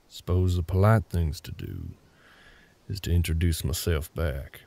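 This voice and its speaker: A man voicing a gruff, terse older cowboy with a Southern US drawl and a gravelly voice in a roleplay audio drama. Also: rumbling low, sing-song, slow pace, mellow, exaggerated voice. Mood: grudging, curt. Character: gruff older cowboy ranch hand, grumpy, curt, grudgingly helpful.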